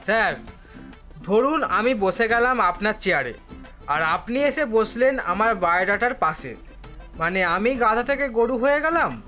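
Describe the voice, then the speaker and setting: Man playing unemployed wisecracker, high pitch, bright tone, overconfident, theatrical, comedy audio story. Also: lively intonation, measured pace, soft background music, full character voice. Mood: eager, cocky. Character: hapless, wisecracking male job seeker, overconfident, perpetually unemployed. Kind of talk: comedy audio drama acting.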